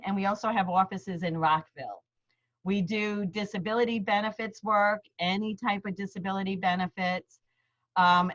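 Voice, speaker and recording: A woman's voice: mid-range voice, a bit bright. A middle-aged woman with a New York accent from Queens, an attorney explaining legal terms step by step in a friendly, plain-spoken seminar over a video call. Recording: slightly dull lo-fi video call audio.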